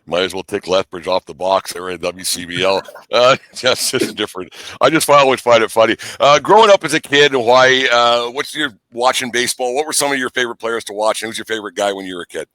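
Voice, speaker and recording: A man hosting a sports podcast in casual chat. His voice is bright and forceful, recorded dry and close, and he talks quickly. He teases and jokes, often rambling through his questions. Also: mid-range voice, no room sound. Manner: joking, teasing, rambling.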